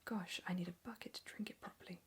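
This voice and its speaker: A woman's voice: fairly low pitch, fairly even intonation, quick pace, breathy edge. A woman in a whispered storybook reading, voicing a greedy boy.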